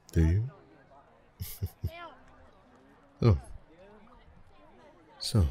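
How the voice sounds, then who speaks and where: A man playing a friendly, flirty festival face painter in an ASMR roleplay, chatting in an easygoing, gently teasing way. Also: very deep voice. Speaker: voice actor in an audio roleplay. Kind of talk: ASMR roleplay, one-sided intimate conversation.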